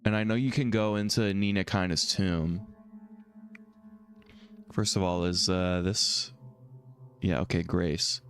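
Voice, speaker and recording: Brief: low-voiced male streamer, slightly hoarse, rambling let's-play chatter, faint music underneath. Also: steady tone, slow, long pauses. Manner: rambling, thinks out loud. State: slightly hoarse from a throat infection. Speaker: streamer playing a video game. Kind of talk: casual let's-play commentary, reading dialogue aloud.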